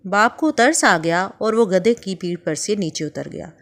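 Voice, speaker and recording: A woman telling a moral story for children in calm, measured scripted narration. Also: medium pitch.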